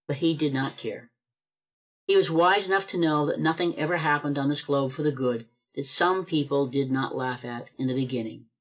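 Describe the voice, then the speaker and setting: A narrator reading a classic holiday tale aloud in a measured, expressive way for an amateur radio play. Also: mid-range voice. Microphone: video call.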